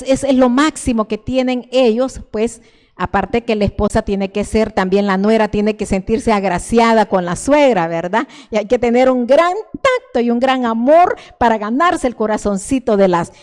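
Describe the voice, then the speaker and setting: A woman's voice: fairly high pitch, moderate pace, a little mellow. An older woman from El Salvador with a Salvadoran Spanish accent, teaching a church sermon in a fervent, repetitive way, often restarting her words. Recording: long reverb in a church hall.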